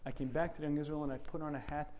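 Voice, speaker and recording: A middle-aged man giving an earnest Torah lecture, clearing his throat often. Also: on the high side, fairly even intonation, rapid-fire, a little mellow, slight background noise. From United States. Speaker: rabbi.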